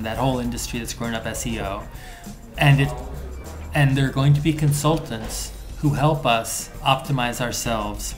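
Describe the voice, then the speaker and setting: Man explaining animatedly over music. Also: medium pitch. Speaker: author being interviewed. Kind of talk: explanatory interview talk. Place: indoors in an office room.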